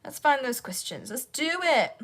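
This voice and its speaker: A woman voice actor with a sore, croaky throat, rambling casually to her livestream audience and talking to herself while she fights with her devices. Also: high-pitched, lively intonation, moderate pace, fairly bright tone.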